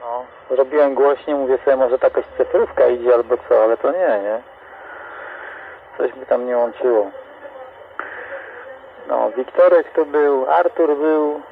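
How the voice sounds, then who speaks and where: A man's voice: mid-range voice, fairly even intonation, measured pace, vocal fry, pauses often. A man with a Greater Poland accent chatting casually as an amateur operator over CB radio. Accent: Polish, Greater Poland. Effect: CB radio transmission. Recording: tinny, noisy CB radio reception. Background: radio static.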